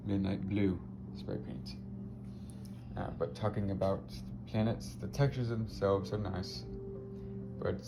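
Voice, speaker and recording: A man with a raspy voice talking casually about his finished spray painting, hesitant with many pauses and fillers. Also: deep voice, fairly even intonation. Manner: hesitant, many ums and restarts. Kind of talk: casual video commentary. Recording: muffled audio with loud music behind. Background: loud background music.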